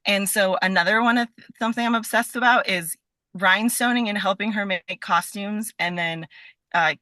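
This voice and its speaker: A woman's voice: mid-range voice, normal speed, bright, forceful tone, dry recording. A woman chatting casually as a podcast guest, informal and rambling, with an enthusiastic manner. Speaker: podcast guest, scientist and performer. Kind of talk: casual chat.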